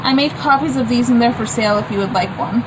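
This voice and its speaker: High-voiced woman reading aloud over background noise. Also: quick pace. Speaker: comic book author reading own work. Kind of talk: informal read-aloud with explanatory asides.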